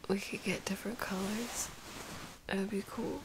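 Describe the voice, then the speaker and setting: A woman playing a sick, sleepy, sweet and whiny girlfriend in an intimate ASMR roleplay, breathy and often dropping into a whisper, with drowsy sighs. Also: mid-range voice, steady tone, noisy background, lightly acted. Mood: drowsy, wistful. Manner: drowsy, drawn-out, sighing, intimate.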